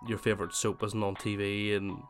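A man with a cold hosting his gaming podcast, chatting casually and then reading a scripted essay in steady narration. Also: on the low side, fairly quick, soft background music. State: has a cold, voice slightly worn. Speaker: podcast host.

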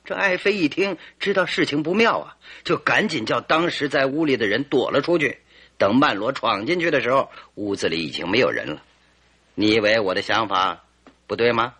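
Radio drama detective: smug and theatrical, bright forceful tone, slightly rough edge. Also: male voice, mid-range voice, lively intonation, moderate pace. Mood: insistent. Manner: theatrical, emphatic, self-assured. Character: confident, self-satisfied male detective expounding his theory.

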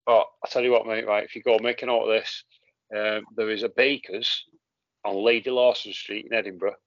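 A man's voice: on the low side, flat delivery, a little fast, fairly bright tone, no room sound, muffled recording. An English man hosting a podcast, chatting in a matey way and swearing casually. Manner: matey, swears casually, says "mate" a lot. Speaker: podcast host.

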